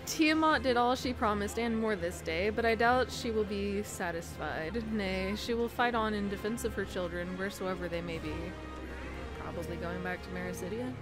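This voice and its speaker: A woman narrates a video game playthrough with game music clearly behind her. Her voice sits at an average height for a woman, turning theatrical for the dialogue she reads and relaxing into playful, casual asides. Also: medium pitch, moderate pace, low-quality recording. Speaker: streamer playing through a game and reading unvoiced dialogue aloud. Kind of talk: let's-play commentary and dialogue read-aloud.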